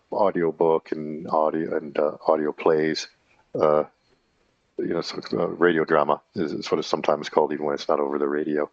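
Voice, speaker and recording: A man in his fifties with a very low voice talks in a loose, halting monologue, stopping often with fillers and correcting himself. The sound is dry and a bit muffled. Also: no room sound. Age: 50s. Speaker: channel host. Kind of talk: casual rambling monologue.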